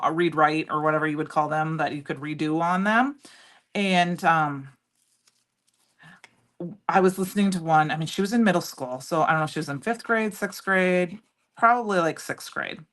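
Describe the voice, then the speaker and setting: A middle-aged woman with a loud voice, chatting and rambling as she demonstrates a craft project live and answers viewers by name. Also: on the low side. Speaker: crafting livestream host. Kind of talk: casual chat while demonstrating crafts.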